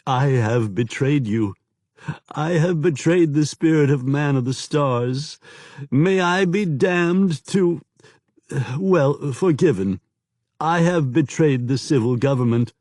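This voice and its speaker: A man's voice: fairly high pitch, slow, darkish tone, no echo, studio quality, pitched above the performer's own voice. A man voicing a frightened local male informant with a sing-song lilt who talks in a broken, begging way. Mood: desperate pleading.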